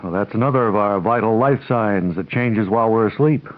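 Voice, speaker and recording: A man's voice: lowish voice, a little fast, close to an everyday voice. A man playing a pompous radio doctor, tinny recording. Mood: defensive. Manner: self-important, pedantic, literal-minded. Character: pompous, obtuse young male hospital doctor. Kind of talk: deadpan radio comedy acting. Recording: tinny, band-limited old radio recording.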